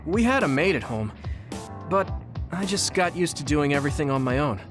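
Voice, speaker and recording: A man playing a friendly, easily flustered young soldier in an English anime game, expressive and boyish. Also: on the high side, normal speed, over music, close to an everyday voice. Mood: open, casual.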